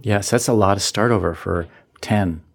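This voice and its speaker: A man hosting an interview, asking gentle, probing questions in a conversational way. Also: on the low side, normal speed, creaky. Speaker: interviewer, host.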